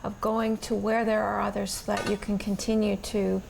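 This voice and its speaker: A woman's voice: medium pitch, level tone, normal speed, creaky, darkish tone, low-quality recording. A woman hosting a community TV interview, asking questions and affirming her guest's answers. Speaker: host.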